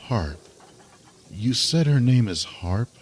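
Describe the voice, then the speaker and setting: A man's voice: mid-range voice, expressive, slow, slight background noise. A man playing a serious, reassuring keeper of secrets in an audio drama.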